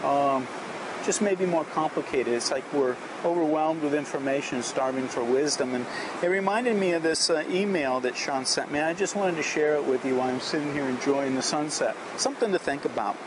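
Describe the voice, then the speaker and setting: Man, fairly high voice, reflective vlog delivery, measured and earnest, noisy outdoor recording. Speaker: vlogger. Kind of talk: reflective talk and reading aloud of an inspirational message. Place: outdoors at a beach. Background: clear outdoor ambient noise.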